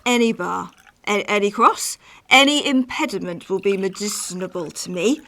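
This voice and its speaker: A woman acting a cold, spiteful, scheming noblewoman in a Shakespearean radio play, terse and measured. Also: mid-range voice, sharp tone, slight background noise. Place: home recording.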